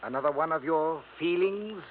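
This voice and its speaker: A man's voice: fairly high pitch, normal speed, narrow, tinny audio, natural voice. A man playing a stuffy, evasive senior lawyer in an old radio drama, speaking in a prim, formal, huffy way. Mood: indignant. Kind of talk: 1950s radio drama acting. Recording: thin old radio broadcast, faint noise.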